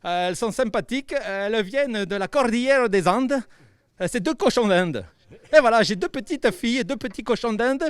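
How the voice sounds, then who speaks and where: A bubbly mustached puppet chef, as a man puts him on, with a high voice that leaps around and a hammy fake Italian accent. He stumbles over his words and loves being the star. The sound is close and dry. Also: lively intonation, normal speed, clear, resonant tone, no room sound. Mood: cheery. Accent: put-on Italian. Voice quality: stammering, bubbly. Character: cheerful mustached male puppet chef, boastful. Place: outdoors by the seafront.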